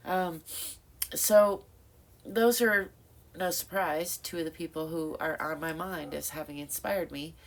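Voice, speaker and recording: A woman's voice: deep voice, measured pace, gravelly. A middle-aged woman with a stuffed-up nose, talking reflectively to camera in a rambling, thoughtful way, with many fillers and self-corrections. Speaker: vlogger. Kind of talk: reflective casual monologue.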